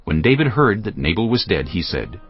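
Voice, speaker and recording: A man narrating scripture from a script in a measured, solemn storytelling manner. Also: deep voice, big pitch swings, muffled recording, soft background music.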